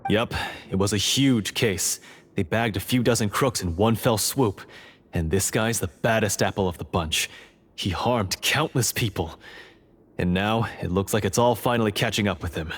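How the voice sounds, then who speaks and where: A man voicing a brisk, blunt prison guard in a game. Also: fairly low pitch, husky. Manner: brisk, blunt, polite to superiors. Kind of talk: game voice acting.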